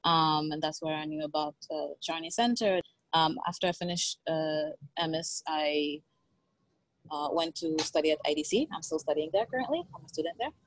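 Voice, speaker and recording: Low-voiced young woman, hesitant delivery. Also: normal speed, low-quality recording. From born in Egypt, lived in Israel. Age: 20s. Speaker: student ambassador. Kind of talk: informal self-introduction on a video call. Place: seat on a train or bus. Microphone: wired earphone headset on video call.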